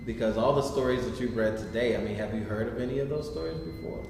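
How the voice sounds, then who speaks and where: A man's voice: mid-range voice, quick pace, creaky. A man hosting an informal post-show question and answer session at a staged reading. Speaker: host of a staged reading discussion. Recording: echoey noisy hall, distant mic.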